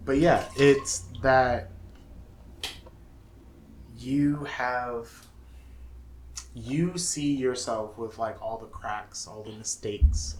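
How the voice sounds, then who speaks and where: A man co-hosting a podcast in casual conversation, talking in a self-deprecating way. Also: medium pitch. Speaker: podcast co-host. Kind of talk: casual chat. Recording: clearly noisy room recording.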